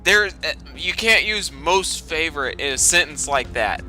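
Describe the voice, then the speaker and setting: A man's voice: fairly high pitch, animated, unhurried, pushed and bright, faint music behind. A man doing a sarcastic, foul-mouthed dramatic reading with exasperated commentary, his throat affected by a lingering cough. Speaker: host of a storytime reading series.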